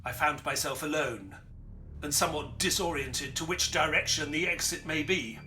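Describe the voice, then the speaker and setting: An actor playing a polite, earnest detective's companion who narrates an audio drama in a formal, theatrical storytelling manner. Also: male voice, on the high side, normal speed, pushed and bright, faint music behind. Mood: dramatic narrating.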